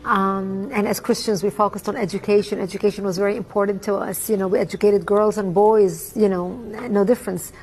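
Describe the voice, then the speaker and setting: A woman, giving a measured, earnest personal testimony with frequent ums. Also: medium pitch, level tone, darkish tone, a little hiss or hum, poor audio. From Lebanon. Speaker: interviewee.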